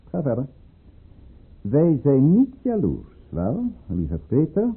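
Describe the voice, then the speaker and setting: Suave, menacing aristocratic schemer, swooping intonation. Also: male voice, mid-range voice, wildly swooping pitch, breathy edge, full character voice. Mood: suave, mocking. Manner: suave, formal, coldly commanding, deliberate. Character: scheming, menacing male governor and aristocratic lover at tsarist court. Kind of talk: Dutch radio drama acting. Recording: muffled, tinny old radio play recording.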